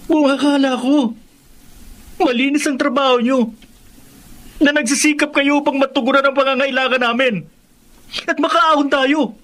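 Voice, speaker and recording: A man's voice: very high voice, fairly even intonation, normal speed, long pauses, fairly bright tone. A Filipino man playing a bitter, defiant young grown son in a radio drama. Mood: bitter, accusing.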